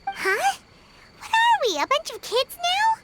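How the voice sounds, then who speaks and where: A woman voicing a tiny, cowardly, childlike female fairy companion in a video game, squeaky and whiny. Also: slow, heavy background noise, heavily acted. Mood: skeptical. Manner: whiny, excitable, refers to self in third person. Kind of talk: cartoonish video game voice acting. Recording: game music behind the voice.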